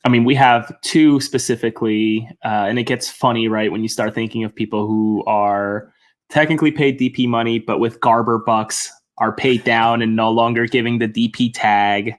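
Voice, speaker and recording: A man who covers a soccer team, guesting on a casual podcast, relaxed and thinking aloud as he corrects himself. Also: mid-range voice, moderate pace.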